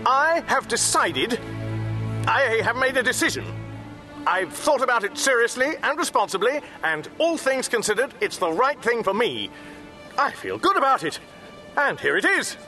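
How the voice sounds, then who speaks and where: Flustered lonely english castaway in radio comedy, high bright voice, dry sarcasm. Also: male voice, very animated, moderate pace, soft background music, exaggerated voice. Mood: manic, resolute. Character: lonely, exasperated Englishman stranded on prehistoric Earth. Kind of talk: radio comedy drama acting.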